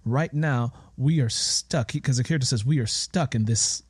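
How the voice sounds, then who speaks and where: A man hosting an interview in a reflective, conversational way, deliberately building and restating his questions. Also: mid-range voice, breathy edge, no room sound. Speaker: interview host.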